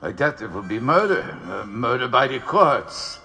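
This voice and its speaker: A man's voice: mid-range voice, very animated, moderate pace, sharp tone. A man playing a defiant, unbending condemned prisoner in an audio drama. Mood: grimly defiant.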